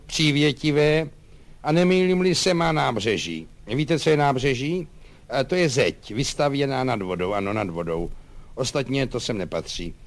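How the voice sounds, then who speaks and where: A man voicing a grim, pompous army colonel in a storybook, muddle-headed, halting and repeating himself. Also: mid-range voice, natural voice. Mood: pompous rambling.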